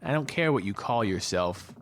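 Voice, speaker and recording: An actor playing volatile, mocking men in a theatrical audio drama, with faint noise behind. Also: male voice, medium pitch, animated, normal speed, lightly acted. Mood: menacing, mocking.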